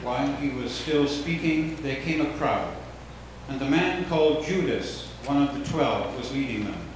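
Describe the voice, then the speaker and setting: A man of medium pitch reads scripture solemnly from well away from the microphone. His voice is swamped in church echo and loud noise. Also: lively intonation, a little slow. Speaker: reader in a church Passion reading. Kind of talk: solemn dramatic scripture reading. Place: church, before a congregation. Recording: very echoey, distant mic, loud noise.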